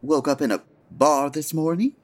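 A woman's voice: low voice, normal speed, a little mellow. A woman acting a prim, effusive, easily shocked store employee with old-fashioned manners, in a 1940s-style radio play. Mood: shocked, disapproving.

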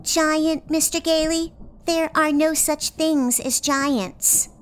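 Woman playing a high-voiced, precocious little girl. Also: steady tone, slow pace. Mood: skeptical. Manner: precise, matter-of-fact, condescending. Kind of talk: comic radio play acting.

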